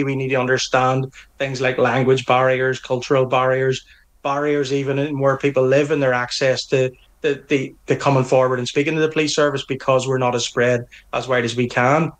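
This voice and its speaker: A man's voice: medium pitch, little pitch movement, brisk. A middle-aged man with a Northern Irish accent, answering interview questions firmly and earnestly, often restarting his phrases. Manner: earnest, firm, with frequent restarts. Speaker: police association representative.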